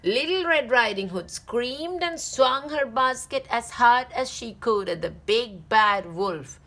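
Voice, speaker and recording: Female children's story narrator, very animated and higher-pitched, deliberate drawn-out phrasing. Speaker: read-aloud channel host.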